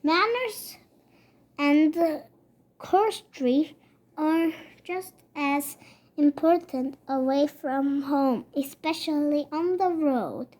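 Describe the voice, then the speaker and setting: Brief: picture-book mama bear, done by a young reader, courteous and instructive, high and unhurried. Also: a little mellow.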